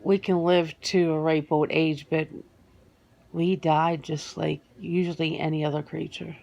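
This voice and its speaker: A woman playing a guarded, earnest werewolf answering interview questions in an ASMR roleplay, speaking haltingly and matter-of-factly. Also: deep voice, flat delivery, vocal fry, a little mellow, highs cut off. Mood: matter-of-fact, reflective. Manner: hesitant, matter-of-fact, halting with pauses.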